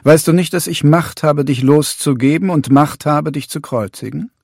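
A man's voice: a little high, moderate pace, vocal fry, a little mellow, no room sound, studio quality, pitched above the performer's own voice. A man voicing an uneasy, pressing Roman governor. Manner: raised, pressing, questioning tone.

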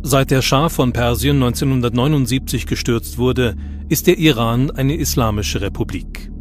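A man's voice: medium pitch, moderate pace, faint music behind. A man reading scripted documentary narration.

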